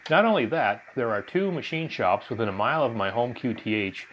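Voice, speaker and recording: A man's voice: mid-range voice, expressive, a little fast, muffled recording, slight background noise. A man narrating an amateur radio video from a prepared script.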